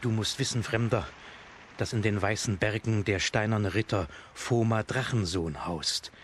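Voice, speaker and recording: Man acting a deep, weary old ferryman. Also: natural voice. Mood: grave warning. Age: older. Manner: measured, grave, weary. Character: weary, sad old ferryman, wary and mournful. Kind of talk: children's radio play acting. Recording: clear background noise, ambience.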